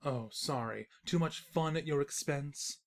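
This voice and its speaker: A woman's voice: sits low, measured pace. A woman playing a smug, teasing, disembodied narrator spirit with a dry, taunting manner.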